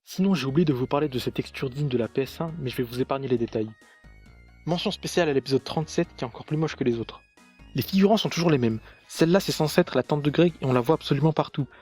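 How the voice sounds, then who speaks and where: A man's voice: mid-range voice, fast talker, a little mellow, husky, soft background music. A man reading scripted commentary for a video review in a sarcastic, critical manner.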